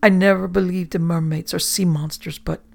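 A man reading as a frightened sailor who mutters his words. The voice is gravelly and husky. It stays close to the reader's own. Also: sits high, fairly even intonation, moderate pace, a little mellow. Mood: fearful.

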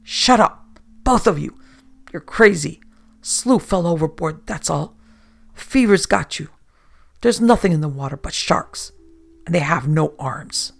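A man voicing a fevered, bullying male sea captain who shouts and snaps at his men. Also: a little high, expressive, measured pace, vocal fry, pauses often, exaggerated voice. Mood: furious. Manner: shouting, snapping.

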